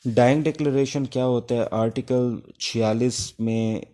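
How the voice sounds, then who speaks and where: A man with a Pakistani accent giving an informal exam-prep law lecture, explaining things step by step with hesitant pauses. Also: mid-range voice, fairly even intonation, warm tone. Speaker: law teacher. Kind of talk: informal exam-prep lecture in Urdu mixed with English legal terms.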